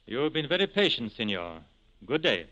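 A man's voice: mid-range voice, sing-song, normal speed, lightly acted. An earnest resistance leader acted by a man in a 1940s radio play. His grave delivery has plenty of melodic movement. The recording is an old, dull broadcast. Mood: tender, restrained. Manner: grave, dignified.

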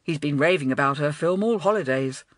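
A woman reading aloud and voicing a confident, breezy schoolboy in a lower, quick, self-assured voice. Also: lively intonation, no echo.

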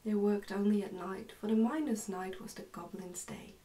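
Young woman doing an asmr book reading, soft hushed n mellow, mic a bit far away. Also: mid-range voice, normal speed. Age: young adult. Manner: soft, hushed, careful read-aloud delivery. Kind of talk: ASMR storybook reading, soft-spoken and whispery. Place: indoors, small plain room.

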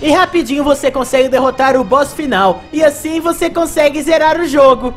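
Casting: young man, high voice, game review host narrating over soft music. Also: a little fast. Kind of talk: scripted gameplay narration.

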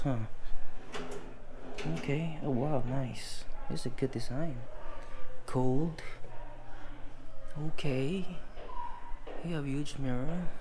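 A man's voice: a little high, background noise. A man giving a casual, chatty walkthrough of a large house, filming on a handheld phone and admiring the rooms as he goes. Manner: slow, chatty, admiring remarks. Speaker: real estate agent touring a home. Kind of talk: casual home tour walkthrough. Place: large furnished house, indoors. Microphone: handheld phone camera. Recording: other visitors chatting behind.

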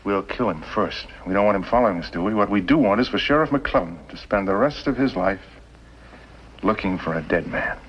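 An American actor playing a cool, calculating villain in a 1950s TV western, speaking deliberately and prodding with leading questions. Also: male voice, fairly low pitch, lively intonation, slightly rough. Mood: smug menace. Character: cool, calculating male villain plotting murder, smugly patient. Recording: dull old 1950s television soundtrack.

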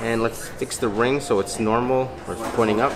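A man's voice: mid-range voice, steady tone, heavy background noise. A man in his thirties hosting a casual behind-the-scenes vlog, chatting and coaching a model in a small coffee shop. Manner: chatty, upbeat, coaxing a model with quick praise. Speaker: photographer and vlog host. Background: music.

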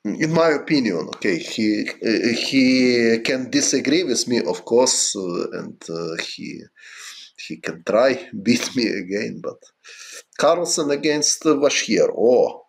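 A middle-aged man from Ukraine with a Ukrainian accent, chatting casually on a chess stream in halting English with many fillers. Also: medium pitch, expressive, gravelly. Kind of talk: casual livestream chat answering viewers.

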